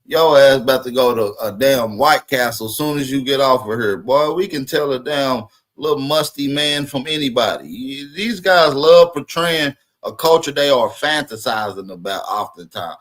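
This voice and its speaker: A man's voice: medium pitch, expressive, moderate pace, hardly any pauses, a bit bright. Sarcastic male livestream co-host. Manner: sarcastic, mocking. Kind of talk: mocking commentary.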